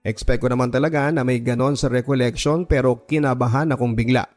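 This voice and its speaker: A man's voice: medium pitch, steady tone, brisk, a little mellow. A man narrating a scripted first-person story in a dramatic radio style, with background music.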